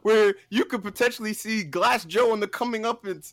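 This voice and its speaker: Man, podcast guest. Slightly higher-pitched, dry close sound, playful and emphatic, pitching his list like a showman. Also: fairly quick, fairly bright tone, no echo. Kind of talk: casual comedic list pitch.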